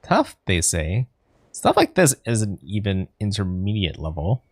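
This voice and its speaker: A confident tough coach acted out by a man talks in a low voice with lively swings. The delivery is breezy, good-natured game dialogue with faint music behind. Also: unhurried, husky, no room sound, exaggerated voice.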